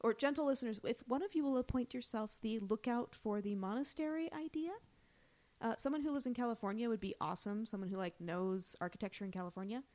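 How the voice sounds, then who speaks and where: A woman's voice: on the high side, fast, mellow. A woman co-hosting a podcast, chatting casually and jokily about a TV show with frequent giggles. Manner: giggly, jokey, informal. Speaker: podcast co-host. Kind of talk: casual TV recap banter.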